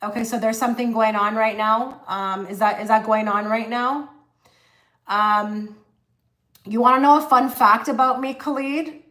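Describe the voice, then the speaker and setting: A woman's voice: on the high side, fairly even intonation, unhurried, bright, forceful tone. A woman hosting a casual tarot-reading livestream, talking chattily with many fillers and restarts while she reads cards and answers the chat. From half Egyptian. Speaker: tarot reader livestream host.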